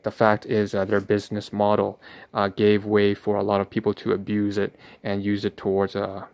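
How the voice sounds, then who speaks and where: Low-voiced man, flat hesitant delivery. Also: normal speed, no echo. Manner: hesitant, wordy, formal phrasing. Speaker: livestream host. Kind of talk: rambling vlog narration while sorting paperwork.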